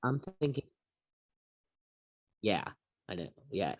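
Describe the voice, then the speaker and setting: Male sports co-host, very low voice, close dry sound, informal and blunt, rambles through opinions. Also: sing-song, slow, dry recording. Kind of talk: casual opinionated sports chat.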